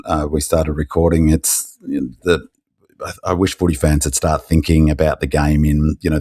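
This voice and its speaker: A hoarse Australian man chatting casually on a sports podcast in a rambling, halting way, his voice croaky from yelling. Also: rumbling low, no room sound, clean studio sound. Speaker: podcast co-host. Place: hotel room.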